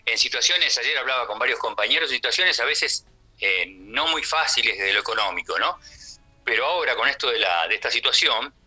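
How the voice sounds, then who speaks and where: A man's voice: mid-range voice, lively intonation, brisk, pushed and bright, slightly rough, faint music behind. An older man with a Rioplatense Argentine accent, speaking as a theatre actor on the radio in a chatty, rambling, conversational way with frequent question tags.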